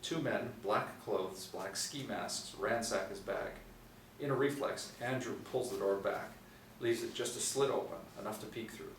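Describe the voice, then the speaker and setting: A man's voice: on the low side, moderate pace. A middle-aged man reading scripted stage directions as narrator at a table read. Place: small indoor room, seated reading from tablet. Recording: echoey room, distant mic, faint noise.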